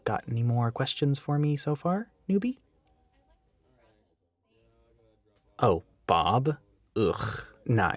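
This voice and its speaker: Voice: mid-range voice, a little mellow, dry recording. Performer doing a bossy flirty scheming store coworker, sarcastic, really swoopy voice, close dry mic w music behind. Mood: sarcastic, chatty. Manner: sarcastic, teasing, swinging from barking to cooing. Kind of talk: acted audio roleplay monologue to listener.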